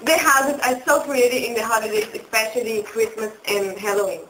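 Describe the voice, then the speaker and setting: A woman's voice: fairly high pitch, fairly even intonation, brisk, fairly bright tone, a little hiss or hum, low-quality recording. A woman from Africa, an international student giving a casual interview testimonial.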